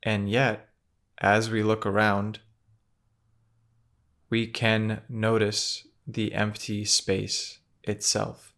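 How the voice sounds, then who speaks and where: A man leading a guided meditation in a gentle, measured manner, with long pauses between phrases. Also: fairly low pitch, monotone, vocal fry.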